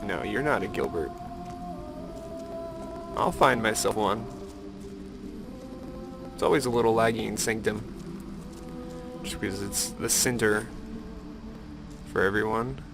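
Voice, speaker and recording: A man's voice: fairly low pitch, a little slow, creaky, pauses often, music in the background. A man giving casual, rambling gameplay commentary, thinking aloud with playful self-mockery. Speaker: gamer narrating his own playthrough.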